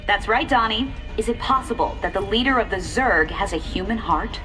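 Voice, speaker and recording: A woman playing a composed, earnest TV news reporter in a video game, crisp and measured. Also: medium pitch, over music, lightly acted.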